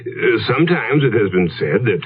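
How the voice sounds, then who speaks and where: An actor playing a kindly, hesitant village vicar, halting, gentle and formal, in old-time radio drama style. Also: male voice, fairly low pitch, big pitch swings. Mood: hesitant, uneasy. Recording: tinny old radio recording, faint music.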